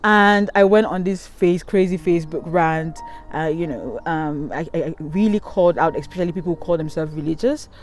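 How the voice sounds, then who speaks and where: A woman's voice: low-pitched, normal speed, hardly any pauses, soft background music. A young woman from Cameroon, telling a candid, rambling storytime to her channel, filming herself outdoors by a river with a handheld camera. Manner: candid, rambling, many ums and restarts. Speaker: vlogger. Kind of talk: casual storytime monologue. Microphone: handheld camera at arm's length.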